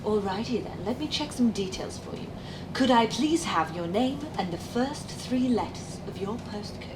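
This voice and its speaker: A woman's voice: medium pitch, expressive, normal speed, heavy background noise, natural voice. A young woman playing a jaded, sarcastic call-centre worker in her twenties in an audio drama. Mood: polite, weary. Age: young adult. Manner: informal, dry sarcasm. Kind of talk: naturalistic audio drama acting. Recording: roomy, music underneath.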